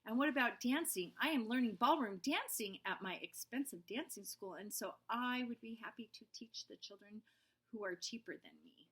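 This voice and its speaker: A woman's voice: fairly high pitch, normal speed, fairly bright tone, breathy edge, voice pushed up, rough recording. A woman voicing a spoiled, showy rich kindergarten girl in a children's storybook, gushing and boastful. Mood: showing off. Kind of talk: children's storybook read-aloud.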